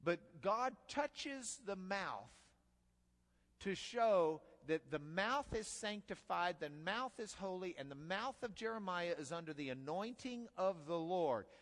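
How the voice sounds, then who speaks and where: A man's voice: high voice, animated, slow, a bit bright. A man preaching an expository sermon in a conversational, folksy way that builds to emphatic bursts, in a church sanctuary. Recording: long hall reverb.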